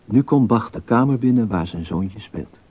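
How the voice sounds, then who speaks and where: A man's voice: lowish voice, expressive, normal speed, dull audio, close to an everyday voice. A man narrating a historical docudrama in a scripted style, as its storyteller. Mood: measured narration.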